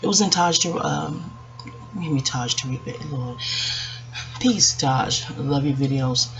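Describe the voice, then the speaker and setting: A woman in her forties talking to her viewers in a hushed, rambling, reflective way, hesitating and digressing as she goes. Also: very deep voice, a little slow, vocal fry. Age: 40s. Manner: hushed, keeping voice down so as not to wake others. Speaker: video channel host. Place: at home, quiet dark room. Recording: dull lo-fi audio with faint background noise.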